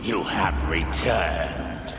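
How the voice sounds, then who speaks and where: An actor playing a ruthless, grandiose zealot who craves godlike power in a video game cutscene. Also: male voice, sits low, big pitch swings, vocal fry, full character voice. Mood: grave, fervent. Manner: grandiose, halting. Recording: echoey hall, long reverb, loud music.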